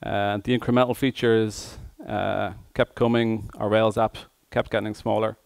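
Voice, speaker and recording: Male tech conference speaker, very monotone, creaky voice, halting phrasing, dry close sound. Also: lowish voice, no room sound. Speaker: conference speaker, software engineer.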